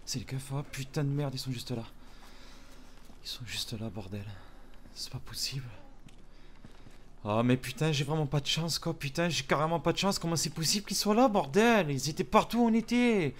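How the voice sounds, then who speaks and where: A man voicing a panicked young male smuggler hiding from police, swearing constantly, stammering and often dropping to hushed whispers. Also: on the high side, normal speed, slight background noise, voice pushed up, close to an everyday voice. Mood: tense whispering. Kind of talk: improvised roleplay acting.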